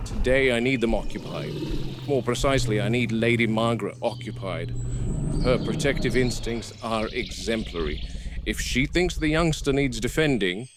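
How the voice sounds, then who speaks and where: A man playing a calm, watchful game warden, speaking in a measured, clinical way as in a scripted field log on a noisy recording. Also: medium pitch, natural voice. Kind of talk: scripted found-footage horror narration.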